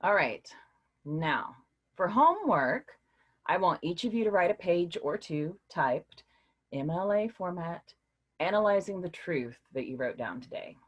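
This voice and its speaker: A woman's voice: on the low side, unhurried, breathy edge, highs cut off, heavily acted. An actress playing a patient woman philosophy professor leading a class discussion in a staged play reading. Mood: teacherly. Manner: lively, questioning, teacherly.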